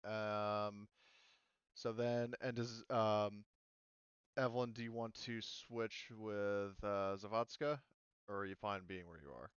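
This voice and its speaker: Smooth-voiced man running a tabletop game. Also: fairly low pitch, steady tone, slow, no room sound, highs cut off. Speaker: game master. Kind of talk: casual tabletop game narration and rules talk.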